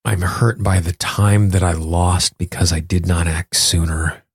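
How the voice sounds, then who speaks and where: Male self-help coach and host, lower register, conversational and instructive, dry recording. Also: steady tone, measured pace, slightly rough, no echo. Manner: explanatory, instructing the listener directly. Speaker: podcast host and tapping coach. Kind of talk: conversational self-help talk and guided tapping exercise.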